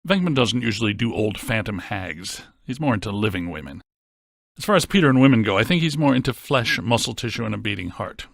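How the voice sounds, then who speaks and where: A man playing a brainy, deadpan scientist ghost hunter in a video game, with precise technical wording. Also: on the low side, a little fast, gravelly, no echo, studio quality. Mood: deadpan, analytical. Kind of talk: scripted video game voice acting.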